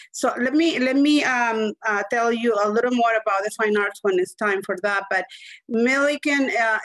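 A woman's voice: mid-range voice, a bit bright, dry recording, poor audio. An older woman from Chile, a university admissions director, introducing herself in a webinar in a chatty way with many fillers. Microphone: video call.

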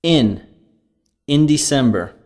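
A man narrating a language course, reading scripted grammar terms and vocabulary in a deliberate, clearly enunciated way. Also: on the high side, lively intonation, darkish tone. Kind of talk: scripted lesson reading, word drills.

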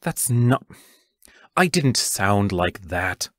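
A woman's voice: extremely low pitch, very animated, extremely slow, no room sound, studio quality, full character voice. A woman playing a terse, protective, anguished teacher in an audio drama, giving firm commands. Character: stern, protective, anguished adult teacher facing fairies.

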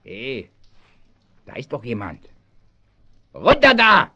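Sneering crook, swooping pitch. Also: male voice, huge pitch swings, slow, bright, forceful tone, a little hiss or hum, full character voice. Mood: menacing, sneering. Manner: menacing, sneering. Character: gruff, threatening male crook and caravan thief. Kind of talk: German children's radio play acting. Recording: dull 1970s audio drama recording.

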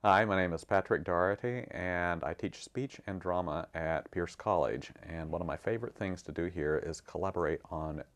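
A man's voice: low voice, normal speed, hardly any pauses. A man who teaches drama, talking informally to camera. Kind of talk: informal interview-style talk to camera.

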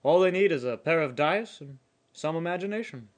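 Earnest, high-pitched old-time radio host played by a man. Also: expressive, a little fast, natural voice. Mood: knowing, folksy. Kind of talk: parody of golden-age radio announcing.

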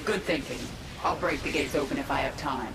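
A woman's voice: rumbling low, fairly quick, heavy background noise. A woman playing a vengeful alien queen who commands a swarm in a video game, grim, terse and authoritative. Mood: grim, determined. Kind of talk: video game character acting. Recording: echoey, over loud game music.

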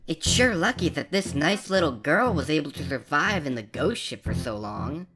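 A woman plays a sensible fairy sidekick in a game cartoon. Her low voice is dry and skeptical but moves with lively intonation, over clear background music. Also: moderate pace. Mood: dryly skeptical. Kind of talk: fan-dub game parody acting.

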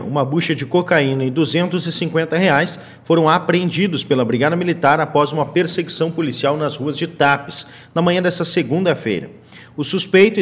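A young man anchors a small local TV news show. His voice sits a little high and his delivery is steady and formal, recorded on a lapel mic in a small studio. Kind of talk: read local news bulletin.

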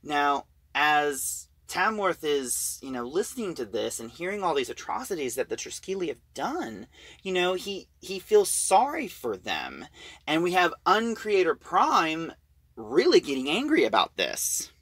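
A reviewer talking casually through a plot, halting and repeating phrases. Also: mid-range voice, sing-song, a bit bright, dry recording.

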